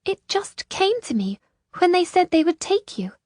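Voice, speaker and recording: A woman's voice: very high-pitched, very animated, moderate pace, a little mellow, dry recording, clean studio sound, pitched above the performer's own voice. A woman voicing an emotional, tender-hearted young noblewoman in an audiobook. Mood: shaken, earnest. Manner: pleading, emotional.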